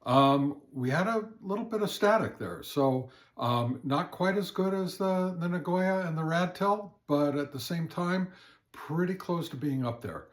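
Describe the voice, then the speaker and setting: A man presenting an informal hands-on product review as an amateur radio hobbyist, speaking in a halting, hesitant way. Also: on the high side, no room sound.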